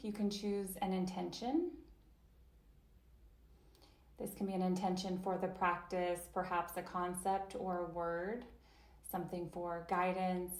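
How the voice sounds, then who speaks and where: A woman voicing an interpreted guided chair yoga session, speaking slowly and gently, with long pauses and soft invitations. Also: fairly low pitch, roomy sound.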